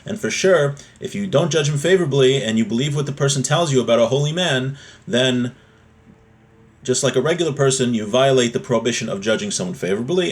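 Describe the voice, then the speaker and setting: A man giving an informal religious text lesson as a teacher, explaining and restating points with everyday examples. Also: medium pitch.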